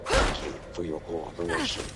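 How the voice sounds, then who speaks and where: A man voicing a calm, courteous automated public-address announcer in a video game, with formal, polite official phrasing. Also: low-pitched, normal speed, very noisy. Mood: impassive courtesy. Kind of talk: scripted public warning announcement.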